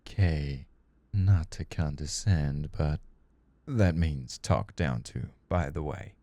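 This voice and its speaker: A man's voice: extremely low pitch, takes it slowly, dark tone, no echo, heavily acted. A man playing a condescending, melodramatic yandere rival sibling in a comedic audio roleplay, with pompous, theatrical delivery. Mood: condescending, wooing.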